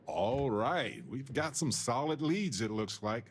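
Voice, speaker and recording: An actor playing a witty, sentimental space explorer and scientist in a video game, with a wry, playful, musing manner. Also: male voice, mid-range voice, animated, slow, a little hiss or hum. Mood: curious, upbeat. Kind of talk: video game dialogue acting.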